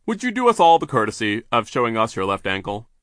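A man's voice: medium pitch, huge pitch swings, fast talker, a bit bright, no room sound, clean studio sound, pitched above the performer's own voice. A man voicing a stern, pompous middle-aged banker who speaks formally and with emphasis.

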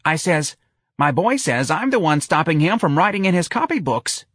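A man's voice: a little high, big pitch swings, moderate pace, fairly bright tone, breathy edge, dry recording, studio quality, pitched above the performer's own voice, heavily acted. A man voicing a doting, plaintive middle-aged working-class mother in folksy, ungrammatical speech for an audiobook. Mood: earnest, chatty. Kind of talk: audiobook character voice.

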